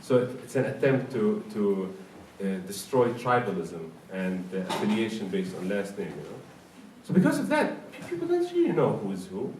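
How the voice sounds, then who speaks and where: A man from Iraq with an Iraqi accent gives an explanatory public talk in an emphatic, halting way, often repeating words. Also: on the low side, wildly swooping pitch. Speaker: speaker at a public forum. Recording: roomy, distant mic with faint noise.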